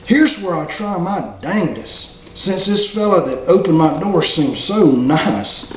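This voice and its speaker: A man's voice: high-pitched, animated, slow, a bit bright. A man reading his own first-person story as a hopeful, humble homeless dog, in a folksy, rural way of talking. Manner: folksy, drawling rural phrasing. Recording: roomy echo, faint background noise.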